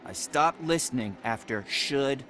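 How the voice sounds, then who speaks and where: A man's voice: mid-range voice, animated, unhurried, pushed and bright, faint music behind. An actor playing a cheeky slacker teenage boy who talks in a sarcastic, dismissive way. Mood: scornful.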